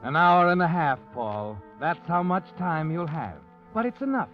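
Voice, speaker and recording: A man's voice: on the high side, very animated, normal speed. A man narrating an old radio thriller in the second person, ominous and insinuating. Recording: dull old radio recording with music.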